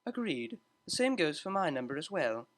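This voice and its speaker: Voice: medium pitch, very animated, normal speed, close to an everyday voice. A narrator voicing a refined, haughty young female pony cellist with a prim, disdainful manner. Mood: prim, curt.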